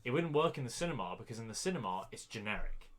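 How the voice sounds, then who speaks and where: A man's voice: mid-range voice, big pitch swings, fast. A man co-hosting a podcast, giving blunt, dismissive opinions in a casual debate. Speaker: podcast co-host.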